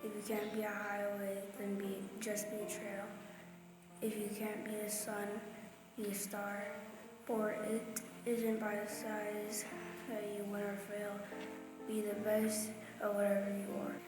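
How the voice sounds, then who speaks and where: A woman's voice: medium pitch, completely flat delivery, measured pace. A woman reading out famous quotations as a church member. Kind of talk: recited quotations. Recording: very echoey hall, music underneath. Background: music.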